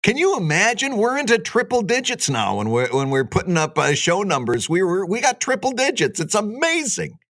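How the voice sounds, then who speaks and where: A man's voice: high voice, sing-song, moderate pace, few pauses, a bit bright, no echo, studio quality. A man co-hosting a podcast, reacting playfully and laughing often in a casual chat. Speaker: podcast co-host.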